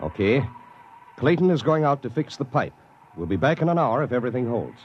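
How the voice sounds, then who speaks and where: An actor playing a cautious, easily alarmed crewman in a 1950s radio drama, speaking in an incredulous, excitable manner. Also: medium pitch, a little fast, natural voice. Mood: concerned. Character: alarmed, cautious crewman doubting a daring plan. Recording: muffled old radio recording, faint noise.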